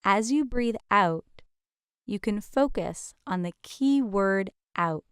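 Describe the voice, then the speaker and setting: A woman leads a guided meditation in a gentle, soothing, invitational manner with deliberate pauses. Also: mid-range voice, animated, clear, resonant tone, no echo, clean studio sound.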